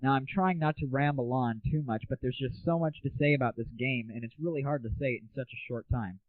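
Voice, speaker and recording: Young man, American accent, casual comic reviewer, irreverent, mid-pitched, tinny narrow audio. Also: brisk, no room sound. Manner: irreverent, sarcastic, chatty. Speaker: host of an online gaming audio show. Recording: tinny, phone-like low-bandwidth audio, very dry.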